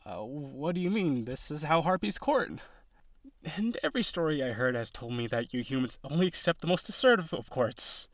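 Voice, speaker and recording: Man acting as an eager, higher-voiced young harpy suitor. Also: animated, moderate pace, no echo, close to an everyday voice. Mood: frustrated pleading. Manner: earnest, rambling, nervous, pleading. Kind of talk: audio roleplay, speaking directly to listener.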